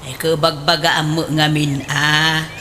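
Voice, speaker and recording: A woman's voice: low voice, little pitch movement, takes it slowly, sharp tone, slight background noise, exaggerated voice. A woman playing a pious, nagging aunt in an Ilocano radio comedy drama, speaking emphatically with an Ilocano accent. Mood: reproachful, nagging.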